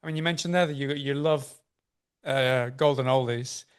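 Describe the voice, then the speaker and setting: A man hosting an interview over a video call, eager and friendly, asking questions and giving quick thanks and agreeing replies. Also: mid-range voice, smooth. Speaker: interview host.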